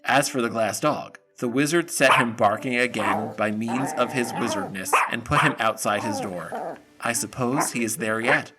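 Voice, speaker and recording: A man's voice: mid-range voice, moderate pace, music in the background. A man narrating a fairy tale audio drama as a scripted storyteller.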